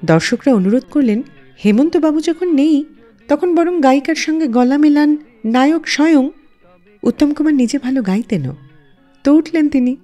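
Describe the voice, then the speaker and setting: A woman hosts a radio show, narrating scripted anecdotes in a warm, mellow voice. Her delivery is animated, with a wide, lively pitch line. Soft music plays faintly behind her. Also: normal speed, pauses often. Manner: expressive storyteller, lively rise and fall. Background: faint background music.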